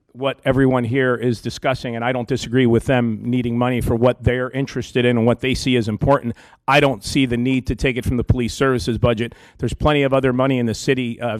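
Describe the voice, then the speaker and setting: A man giving an earnest public comment at a meeting, pausing often on ums and uhs. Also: mid-range voice, no echo. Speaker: public deputant at a police board meeting.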